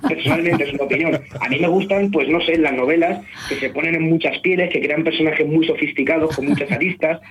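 A man's voice: fairly high pitch, a little fast, hardly any pauses, noisy background. A young man from Spain, a novelist guest in a radio interview, chatty and self-mocking, often restarting his sentences. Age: young adult. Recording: muffled radio broadcast.

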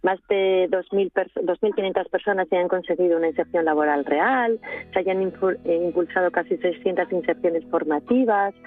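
A woman's voice: on the low side, normal speed, dry recording, faint music behind. A woman from Asturias, speaking as a guest in a radio interview by phone, hesitant, with many restarts. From Asturias, Spain. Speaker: guest, NGO regional delegate. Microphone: telephone. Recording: tinny phone line.

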